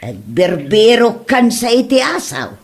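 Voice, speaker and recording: A woman's voice: medium pitch, very animated, unhurried. A woman with an Ilocano accent playing a gossipy, grumbling neighbour wife in an amateur comedy drama.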